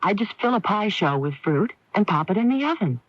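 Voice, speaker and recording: An actress playing a modest, cheerful home baker giving a testimonial in a radio commercial. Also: female voice, mid-range voice, big pitch swings, brisk. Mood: friendly, confiding. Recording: dull lo-fi radio broadcast, dry.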